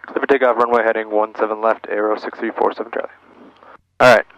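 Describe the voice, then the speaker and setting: A man's voice: on the low side, level tone, quick pace, highs cut off. A man piloting a small plane, thinking aloud in short procedural phrases and making radio calls over a headset. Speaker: pilot narrating his own flight. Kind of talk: cockpit vlog narration mixed with radio calls. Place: small airplane cockpit. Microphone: aviation headset. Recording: faint engine and radio noise.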